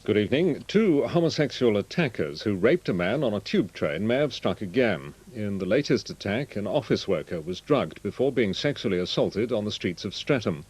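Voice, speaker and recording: An English man reads a regional television bulletin in a low voice with a husky edge. The delivery is formal and scripted. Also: very animated, moderate pace, few pauses, darkish tone, rough recording. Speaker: news reader.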